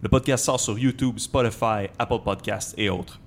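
A man's voice: on the low side, fairly even intonation, moderate pace, slight background noise. A young man, hosting a podcast interview in a playful, joking way. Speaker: podcast host. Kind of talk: casual interview chat.

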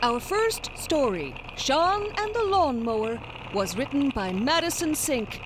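A woman's voice: sits high, sing-song, a little slow, noisy background. A woman playing a polite talking machine that formally announces stories for children.

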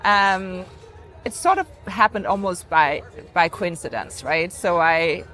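Lower-voiced Danish woman telling her story. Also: takes it slowly, bright, forceful tone, low-quality recording. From Denmark. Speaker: podcast guest, entrepreneur. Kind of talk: conversational interview storytelling.

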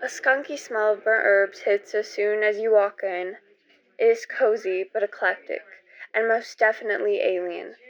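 A woman of medium pitch narrates scripted radio theater as its host. She is theatrical and suspenseful, and the recording is slightly dull and band-limited.

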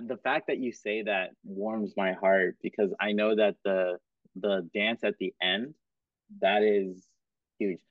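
A man hosts a podcast in an easy, encouraging way and laughs often. His voice sits on the low side. The sound is dry and thin, like a phone line. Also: dry recording. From Cameroon and Kenya. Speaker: podcast host. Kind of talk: casual interview chat.

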